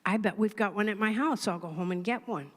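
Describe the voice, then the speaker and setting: A woman voicing an eager young villager who stumbles over words with excitement. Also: mid-range voice, fairly quick, lightly acted. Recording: long church hall reverb.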